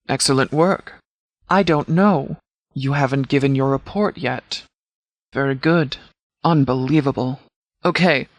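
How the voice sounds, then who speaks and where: Man acting as a formal, higher-pitched military commander. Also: dry recording, close to an everyday voice. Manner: formal, measured, tactical phrasing. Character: composed, formal, pragmatic male military commander. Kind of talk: video game dialogue acting.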